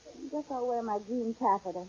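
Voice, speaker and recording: A woman playing a flustered, dawdling housewife with quick exasperated retorts in a 1940s radio comedy. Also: on the high side, warm tone, slightly rough. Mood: flustered, snappy. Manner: exasperated, playfully argumentative. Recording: dull old radio recording.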